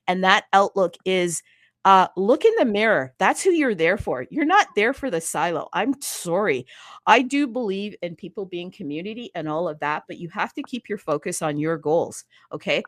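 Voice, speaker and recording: A woman hosting a casual chat about crypto. She is bubbly and excitable and talks fast in bursts. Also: mid-range voice, no room sound, clean studio sound. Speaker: host.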